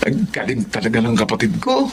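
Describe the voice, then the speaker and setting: A man's voice: medium pitch, wildly swooping pitch, brisk. A man playing a caring, watchful older brother, a young man, in a Filipino radio drama. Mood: proud, affectionate. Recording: clear background noise.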